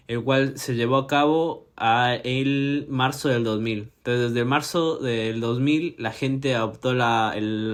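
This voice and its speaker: A man in his twenties from Ecuador, hosting a podcast and giving an informative explainer with frequent hesitations and fillers. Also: mid-range voice. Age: 20s. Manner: hesitant, many fillers and restarts. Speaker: podcast host.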